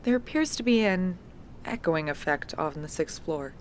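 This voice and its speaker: Noisy field recording, young woman, actress as diligent researcher, lowish pitch, polite, matter-of-fact, audio drama. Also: animated, moderate pace, lightly acted. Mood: businesslike. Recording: noisy handheld field tape with clicks.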